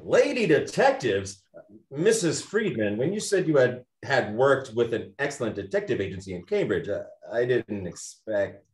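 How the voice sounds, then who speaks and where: A man's voice: mid-range voice, big pitch swings, moderate pace, echoey room, lightly acted. A man playing a brusque, skeptical federal agent in a radio-style mystery play. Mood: taken aback.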